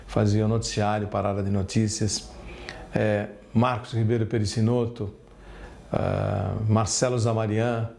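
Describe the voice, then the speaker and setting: An older Brazilian man reminisces in an interview. His voice is on the low side and dark, with a soft, mellow tone. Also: gravelly.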